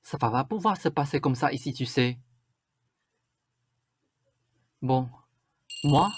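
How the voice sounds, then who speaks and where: A woman's voice: extremely low pitch, no echo, exaggerated voice. A woman playing a bossy, vain, suspicious stepmother in a radio drama, with a scolding, insistent manner. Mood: pompous. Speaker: student actor.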